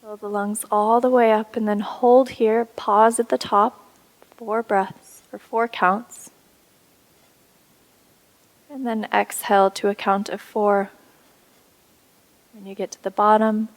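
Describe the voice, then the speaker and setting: A woman instructor leading a guided meditation in a soothing, unhurried way with pauses. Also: mid-range voice, level tone, poor audio.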